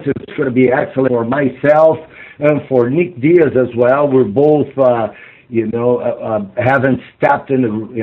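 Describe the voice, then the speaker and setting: A man interpreting live on a phone conference call, speaking in halting, repetitive phrases padded with fillers. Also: medium pitch. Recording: muffled narrow-band phone line.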